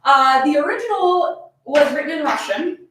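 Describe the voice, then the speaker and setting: Echoey, animated woman reading fan fiction aloud. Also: high-pitched, normal speed, faint music behind. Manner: giggly, breaks into laughter while reading. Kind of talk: dramatic reading with casual banter. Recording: very echoey room, distant from mic.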